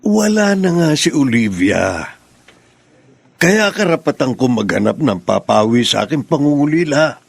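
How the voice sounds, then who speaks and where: A man's voice: medium pitch, very animated, a little slow, creaky, slightly rough, no echo, heavily acted. A man playing a stubborn, suspicious middle-aged widowed father in a Filipino radio drama. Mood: defensive, aggrieved.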